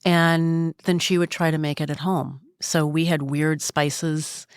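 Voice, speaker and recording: A woman in her sixties from Minnesota with a low, smoky voice, speaking softly and reflectively as a podcast guest. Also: flat delivery, unhurried, clean tone, no room sound, studio quality. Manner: soft-spoken, reflective storyteller. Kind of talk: casual conversation. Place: recording studio.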